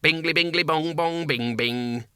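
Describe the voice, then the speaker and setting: A man's voice: fairly high pitch, unhurried, bright, forceful tone, smooth, dry recording, clean studio sound, voice pushed up. A man voicing a small clock demon who announces the time in sing-song chimes. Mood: chiming.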